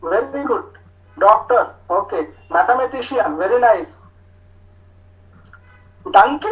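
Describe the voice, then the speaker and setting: A man's voice: high voice, lively intonation, faint music behind. Indian man lecturing students over a muffled call. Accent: Indian English. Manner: talks slowly with frequent hesitations, reads out student answers. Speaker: science officer giving an online talk to students. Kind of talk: online lecture with student chat. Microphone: video call. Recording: muffled video call audio.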